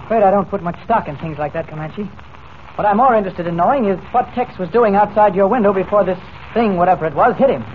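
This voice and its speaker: Male actor as brisk investigator hero, reasoned and matter-of-fact, quick delivery, narrow vintage radio sound. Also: high voice, close to an everyday voice. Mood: probing, serious. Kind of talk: 1940s radio serial acting. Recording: tinny, noisy 1940s radio broadcast.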